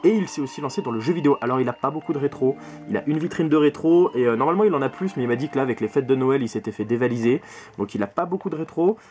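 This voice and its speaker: A man's voice: mid-range voice, lively intonation, very fast, darkish tone. A young man talking casually and emphatically to camera as he presents his video game collection, recorded at arm's length in a bedroom. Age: young adult. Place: small bedroom full of games, indoors. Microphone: camera mic at arm's length.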